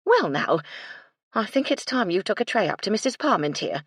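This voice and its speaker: Disapproving housekeeper played by a woman reader, firm and bossy, a little gravelly, for a storybook. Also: mid-range voice, big pitch swings, normal speed, no echo, studio quality, pitched above the performer's own voice. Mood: brisk. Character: stern, disapproving English housekeeper, bossy but dutiful.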